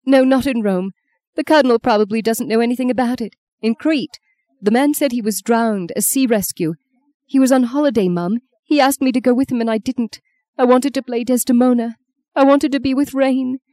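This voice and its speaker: A woman's voice: a little high, steady tone, normal speed, dark tone, pitched above the performer's own voice, close to an everyday voice. A woman voicing a grief-stricken grown daughter in an audiobook, tearful, pleading and full of self-blame. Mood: guilt-stricken. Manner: pleading, emotional outbursts. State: anguished, tearful, sobbing. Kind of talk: dramatic audiobook character voice.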